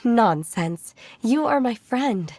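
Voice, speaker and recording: A woman voicing a reserved, sincere young disciple in a video game, speaking in a calm, stiffly formal, understated way. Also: a little high, takes it slowly, full character voice.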